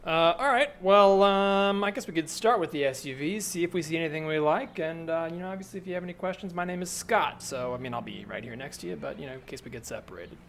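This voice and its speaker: A man's voice: a little high, fast, gravelly, few pauses, a bit bright, a little hiss or hum. A man playing an easygoing, obliging car salesman in an improvised comedy sketch.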